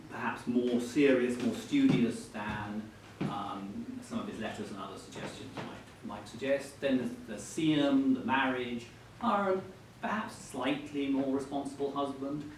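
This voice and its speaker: Very echoey man interviewing, hesitant. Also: darkish tone. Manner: hesitant, roundabout questioning. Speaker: interviewer at a book event. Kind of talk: literary interview before an audience. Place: echoey hall at a live book event. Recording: very echoey, distant mic, faint rumbling noise.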